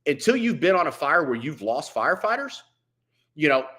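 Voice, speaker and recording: A man's voice: fairly high pitch, very animated, normal speed, sharp tone. A man speaking as a veteran firefighter in a conversational interview, with a blunt, colloquial and emphatic manner. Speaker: veteran firefighter, podcast guest.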